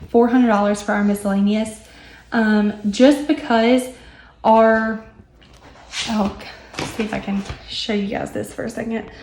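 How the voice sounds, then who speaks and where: Hoarse chatty young woman, echoey room. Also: fairly high pitch, darkish tone. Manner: chatty with many ums and asides. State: hoarse, recovering lost voice. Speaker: budgeting vlogger. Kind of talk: casual chat while working on paper.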